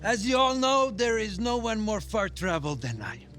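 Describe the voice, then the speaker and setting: An actor playing a commanding, far-travelled Viking jarl in a video game, speaking with measured authority. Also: male voice, sits high, sing-song, fairly bright tone, faint music behind. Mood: persuasive. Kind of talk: cinematic video game acting.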